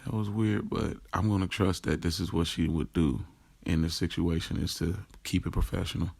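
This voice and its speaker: A man's voice: low-pitched, fairly even intonation, normal speed, gravelly, a little mellow, breathy edge, dry recording, exaggerated voice. An American man playing a young, streetwise rideshare driver who tells his story in a slangy, hesitant, confessional audio drama narration. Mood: puzzled. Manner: conversational, slangy, hesitant self-corrections. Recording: music behind the voice. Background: music.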